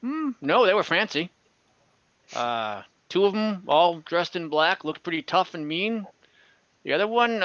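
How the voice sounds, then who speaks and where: A man voicing a gruff, laconic old male bartender who trades gossip in clipped, hesitant bits. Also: a little high, sharp tone, no echo, full character voice. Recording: dull dry lo-fi audio.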